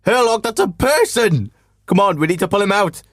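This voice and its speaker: An actor playing a sensible, long-suffering doctor and detective's sidekick with a dry manner, in a comic radio drama. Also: male voice, high-pitched, very animated, normal speed, fairly bright tone, no echo, heavily acted. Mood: alarmed. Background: music.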